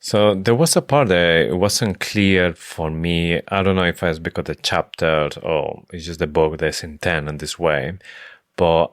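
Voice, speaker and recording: Very deep-voiced podcast co-host, dry sound. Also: steady tone, unhurried, no echo. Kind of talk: casual book discussion.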